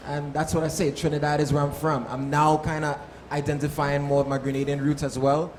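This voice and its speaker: A man's voice: on the high side, level tone, a little fast, a little mellow. A man from Harlem, a soca artist, answering casually as part of a panel. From Harlem, New York. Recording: echoey room, faint background noise.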